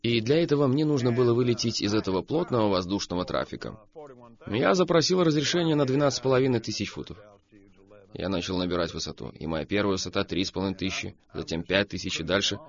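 Man, preacher, host, animated storyteller, lively pitch, dull lo-fi sound. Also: fast. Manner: animated storytelling about flying.

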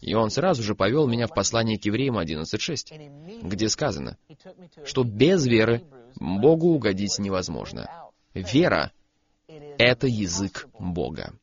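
A man preaches with a lively voice that rises and falls widely as he tells stories and drives his points home. He repeats key phrases for emphasis. The sound is dull and lo-fi. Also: lowish voice, normal speed. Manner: animated storytelling, emphatic repetition. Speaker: preacher, guest on a program. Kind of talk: sermon.

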